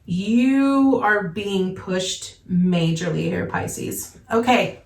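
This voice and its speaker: Tarot lady, kinda hoarse from congestion, earnest, keeps saying okay, echoey room. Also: mid-range voice, lively intonation, very slow, distant mic. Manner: earnest, reassuring, frequent "okay?" check-ins. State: voice worn from congestion. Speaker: tarot reader. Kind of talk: casual tarot reading. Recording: echoey room, voice a little off-mic.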